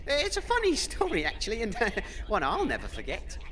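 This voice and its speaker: A man's voice: sits high, huge pitch swings, fast talker, a crowd in the background. A man playing a pompous, easily flustered British wasteland radio DJ in a comedy audio drama. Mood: boastful. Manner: pompous, hesitant when challenged. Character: self-important, flustered wasteland radio DJ telling boastful anecdotes.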